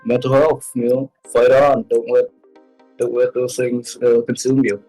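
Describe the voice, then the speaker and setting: Guy being interviewed, medium pitch, casual, kinda halting, repeats himself, music behind him. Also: flat delivery, mellow, low-quality recording. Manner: halting, repeats short phrases. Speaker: interview guest, web series creator. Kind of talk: casual chat.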